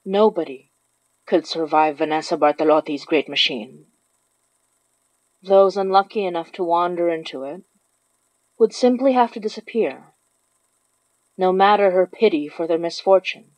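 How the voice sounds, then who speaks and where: A woman's voice: on the low side, moderate pace, pauses often, no echo, rough recording. A woman reading scripted narration for a horror story. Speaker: narrator of a horror fiction podcast.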